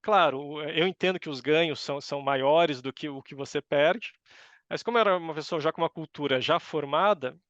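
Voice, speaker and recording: A middle-aged man with a Brazilian Portuguese accent giving thoughtful, halting answers as an interview guest. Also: on the high side, fairly bright tone, dry recording, dull audio. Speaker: interview guest, university professor. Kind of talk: conversational interview answers, reflective.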